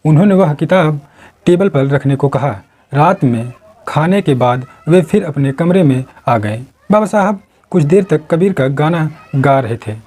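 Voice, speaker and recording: A man tells a dramatic story from a script. His voice sits a little high for a male, with a soft, dark and mellow tone that stays rounded. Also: lively intonation, a little slow. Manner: acts out quoted dialogue within the narration. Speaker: narrator.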